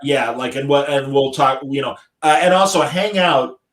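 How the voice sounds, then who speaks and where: Upbeat host in a roomy echo. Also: male voice, medium pitch, normal speed. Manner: enthusiastic, effusive. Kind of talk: live online interview. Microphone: video call.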